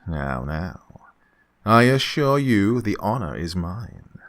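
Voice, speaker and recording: Male actor as sinister genial employer, radio drama, unctuous courtesy, insistent, drawn-out delivery, animated intonation. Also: low voice, heavily acted. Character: falsely genial, sinister male employer luring a young woman. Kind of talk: old-time radio suspense drama acting.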